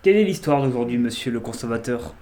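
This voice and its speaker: A man's voice: medium pitch, animated, fast, dark tone, a little hiss or hum. A man commentating a horror game casually, with a slightly stuffy nose and a joking, chatty way of talking. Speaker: gaming youtuber. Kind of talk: casual let's play commentary.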